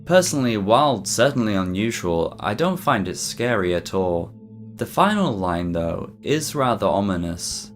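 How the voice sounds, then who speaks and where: A man reading a scripted mystery documentary narration for an online video in a measured, explanatory way. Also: on the low side. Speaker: YouTube narrator. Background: background music.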